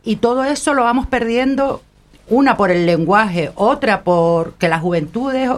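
A woman with a Canary Islands accent, a panel guest speaking in an earnest, lively way. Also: on the high side, unhurried. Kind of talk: casual panel chat.

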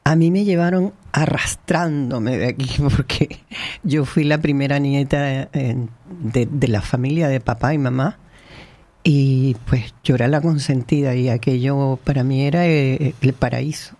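A woman's voice: a bass voice, a little slow, warm tone, dry recording. A woman from the Canary Islands with a mixed Canarian and Venezuelan accent, telling her life story frankly as an interview guest. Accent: mixed Canarian and Venezuelan Spanish. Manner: emotional, frank, with restarts and laughs.

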